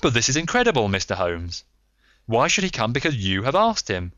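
A man's voice: medium pitch, wildly swooping pitch, fairly quick, bright, forceful tone, no room sound, voice pushed up. Man doing an incredulous police inspector. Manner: doubtful, questioning.

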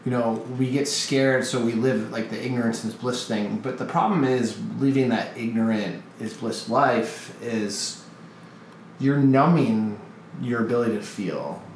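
A man hosting a casual podcast, speaking openly and emotionally, halting and restarting as he searches for words. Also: mid-range voice, reverberant, slight background noise, poor audio. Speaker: podcast host.

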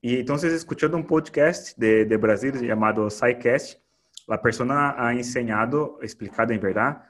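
A man's voice: medium pitch, normal speed, a bit bright. Male podcast host, casual Spanish chat full of restarts and borrowed words. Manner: mixes Portuguese words into Spanish, many restarts.

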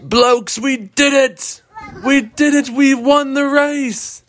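A man voicing an excited young shark in a bedtime story, high and cheering. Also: a little slow.

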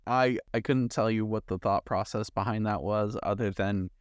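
A man's voice: lowish voice, steady tone, moderate pace, clear, resonant tone, no echo, studio quality. A man co-hosting a casual chat, giving his opinions in an informal, agreeable, conversational way. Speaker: podcast co-host.

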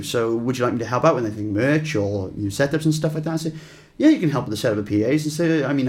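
Chatty Welsh man, rambling. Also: mid-range voice. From Wales. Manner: rambling, with many fillers and restarts. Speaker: guest musician. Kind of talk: casual interview chat.

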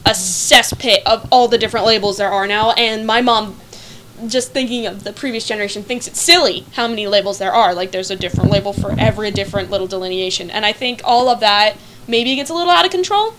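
A woman's voice: mid-range voice, quick pace, fairly bright tone, slight background noise, rough recording. A young woman co-hosting a casual podcast, talking in a thoughtful, rambling way with many fillers. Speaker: podcast co-host.

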